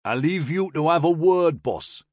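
A man's voice: a little high, lively intonation, measured pace, darkish tone, dry recording, clean studio sound, pitched above the performer's own voice. A man voicing a testy, dour army corporal with a broad Newcastle accent in an audiobook, calling out dryly.

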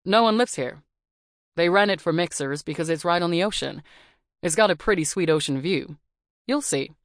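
Woman voicing a smooth-toned young doorman. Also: fairly low pitch, expressive, normal speed, dry recording, clean studio sound. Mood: friendly.

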